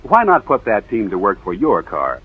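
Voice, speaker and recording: A man's voice: on the low side, huge pitch swings, normal speed, slightly rough. A man with an American accent reading a sponsor's commercial as a 1940s radio announcer. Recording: muffled vintage radio recording.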